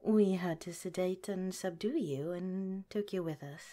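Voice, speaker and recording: A woman playing a soft-whispering scientist director. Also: on the low side, unhurried, lightly acted. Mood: gentle, reassuring. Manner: gentle, polite, reassuring. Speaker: ASMR roleplay performer. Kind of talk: ASMR audio roleplay.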